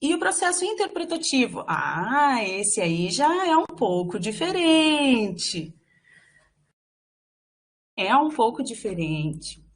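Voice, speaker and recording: A woman interpreter giving live voice to a signed lecture, speaking in halting phrases with frequent fillers and restarts. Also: on the high side, big pitch swings. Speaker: sign language voice interpreter for a workshop.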